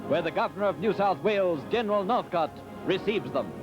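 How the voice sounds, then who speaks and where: A man's voice: sits high, animated, brisk. A man reading formal, declamatory, celebratory 1940s newsreel narration on an old, dull soundtrack over music.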